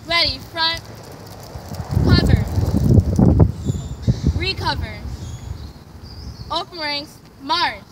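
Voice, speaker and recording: A woman's voice: high voice, expressive. Woman calling drill commands outdoors. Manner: drawn-out, clipped commands. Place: outdoor parking lot. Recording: distant phone audio, outdoor noise.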